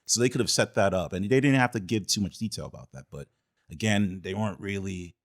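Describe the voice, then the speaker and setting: A man with a fairly low voice talks casually as a podcast host. He feels his way through his points, repeating and rewording as he goes. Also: fairly quick. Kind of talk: casual film discussion.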